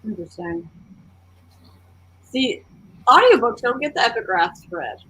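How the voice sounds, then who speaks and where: A woman chatting casually in a book discussion, speaking in a tentative, halting way. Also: fairly high pitch, big pitch swings, fairly bright tone, a little hiss or hum, low-quality recording. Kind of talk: casual book club chat.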